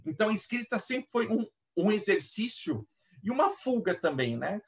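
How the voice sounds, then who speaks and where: A Brazilian man talking casually as an interview guest and author, in a halting manner that repeats words. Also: sits high, big pitch swings. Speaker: guest author and play educator. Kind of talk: casual explanatory interview talk. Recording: voice somewhat far from mic.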